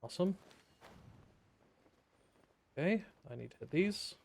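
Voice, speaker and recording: A man giving casual, sarcastic live commentary as he plays a game, with a deadpan manner. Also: high-pitched, unhurried, creaky, husky. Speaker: game streamer. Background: game music.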